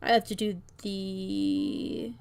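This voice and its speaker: A woman's voice: mid-range voice, fairly even intonation, very slow, gravelly, a little mellow, soft background music. A woman livestreaming casually, thinking aloud and muttering to herself as she tests her own game. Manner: muttering to herself, reading out lines as she works. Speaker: VTuber streamer and game maker. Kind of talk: casual livestream, thinking aloud while testing a visual novel.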